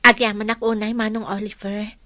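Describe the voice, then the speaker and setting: A woman's voice: medium pitch, little pitch movement, fairly quick, sharp tone, no room sound, close to an everyday voice. A Filipino-accented woman playing an earnest, self-sacrificing young woman, speaking with heartfelt emphasis in a radio drama. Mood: grateful. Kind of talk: Ilocano radio drama acting.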